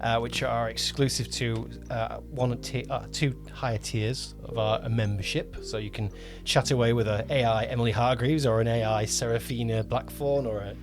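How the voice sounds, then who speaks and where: A man's voice: mid-range voice, steady tone, moderate pace, hardly any pauses. Man co-hosting, music behind him. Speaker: podcast co-host, audio drama producer. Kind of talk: casual podcast chat.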